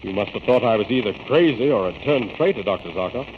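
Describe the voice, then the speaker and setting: A bold young hero of a vintage radio serial , played by a man, with a lively, swinging delivery. He is commanding and earnest, speaking in brisk, melodramatic declarations through a dull, crackling recording. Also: fairly low pitch, natural voice. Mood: bewildered. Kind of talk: 1930s radio serial acting.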